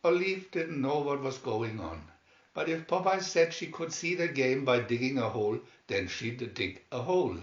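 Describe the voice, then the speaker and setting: A man's voice: mid-range voice, lively intonation, normal speed. A man narrating a children's cartoon story from a script, as a storybook reader. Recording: dull, roomy home recording.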